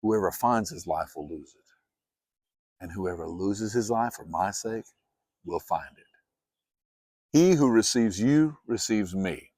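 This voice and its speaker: A man reading scripture aloud as a solemn audiobook, with deliberate, emphatic and measured phrasing. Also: lowish voice, expressive, a little mellow, no echo. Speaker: Bible reader.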